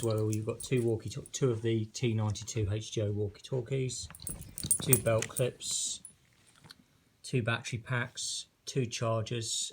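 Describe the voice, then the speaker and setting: Man, flat intonation, casual unboxing commentary, low voice, informal, noisy recording. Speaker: product reviewer. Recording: noisy recording with handling clicks.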